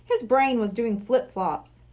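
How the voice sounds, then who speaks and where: A woman's voice: a little high, takes it slowly, a little mellow. A woman reading a children's storybook aloud as scripted narration. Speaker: library reader of a children's book.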